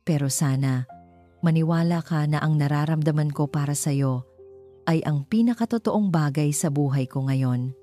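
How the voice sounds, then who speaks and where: A woman voicing a devoted, remorseful young male executive in a romance audio story, speaking softly and earnestly. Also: deep voice, moderate pace, soft background music, lightly acted. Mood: pleading, remorseful.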